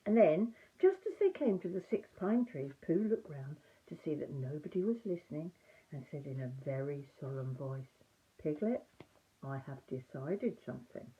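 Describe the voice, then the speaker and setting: Through a narrow, phone-like recording, an older woman reads a children's story. Her voice sits slightly low and moves through lively, swooping pitch as she narrates. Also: moderate pace. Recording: muffled, narrow phone-like audio.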